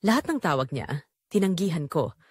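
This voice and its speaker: A woman's voice: lowish voice, very animated, normal speed, breathy edge, dry recording, studio quality. A woman reading a scripted first-person romance story in a dramatic storytelling manner. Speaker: audiobook narrator.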